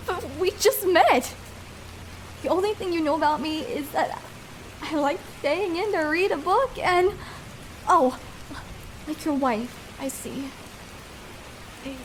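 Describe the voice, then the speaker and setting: A woman's voice: extremely high pitch, a little hiss or hum, exaggerated voice, low-quality recording. A young woman playing a shy, vulnerable escort in an intimate ASMR roleplay, speaking hesitantly and tenderly with small stammers.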